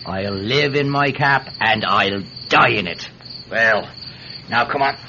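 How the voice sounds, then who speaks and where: A man's voice: lowish voice, slow pace, bright, forceful tone, full character voice. An actor playing a talkative, wily Irish villager who stammers deferentially as he pleads his innocence in a radio drama. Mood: excited. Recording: muffled, slightly noisy old-style radio recording.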